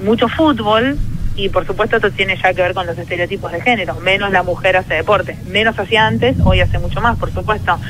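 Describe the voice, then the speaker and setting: A woman's voice: mid-range voice, brisk, a bit bright, a little hiss or hum, poor audio. An Argentine woman, a sociologist and field hockey player, answering earnestly in a radio interview with frequent restarts. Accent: Argentine Spanish. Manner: earnest, thoughtful, many restarts and fillers.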